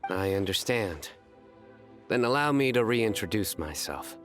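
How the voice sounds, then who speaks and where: A man playing a solemn young adventurer in a video game, speaking in a formal, measured, deadpan way. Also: lowish voice, soft background music. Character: deadpan, solemn young male adventurer who tells bad puns. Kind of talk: animated video game voice acting.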